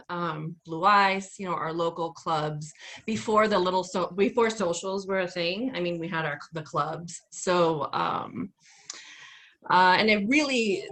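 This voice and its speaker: Relaxed, lower-voiced dance instructor woman. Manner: relaxed, hesitant with many fillers. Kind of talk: casual podcast interview.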